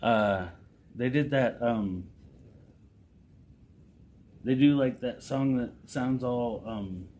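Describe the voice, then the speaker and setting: A man with an average-pitched voice co-hosts a casual podcast. His talk is slangy and full of swearing, recorded with noise in the background. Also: takes it slowly, pauses often, a little mellow. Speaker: podcast co-host. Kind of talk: casual profane banter. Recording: noisy background.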